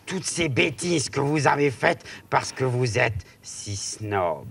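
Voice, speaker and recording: An actor playing a gentle, teasing friend in a children's TV show, with a husky voice. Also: male voice, mid-range voice, takes it slowly, full character voice. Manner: teasing, lively.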